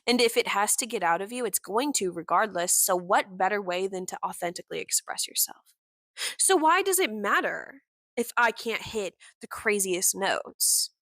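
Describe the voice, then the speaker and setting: A young woman hosting her own podcast, with a warm voice that is deeper than most women's, talking candidly and with passion, full of "like" fillers and the odd swear word. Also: animated, normal speed, dry recording. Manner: candid and impassioned, with frequent "like" fillers and mild swearing. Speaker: podcast host. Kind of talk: casual personal monologue.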